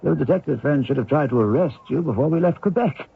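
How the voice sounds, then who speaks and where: A genteel, inquisitive doctor in a vintage radio play. His voice is gravelly and husky at a medium pitch, measured and probing, on a thin, tinny recording. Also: lively intonation, heavily acted. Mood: probing, reassuring. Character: courteous, inquisitive male ship-travelling doctor. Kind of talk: old-time radio drama acting.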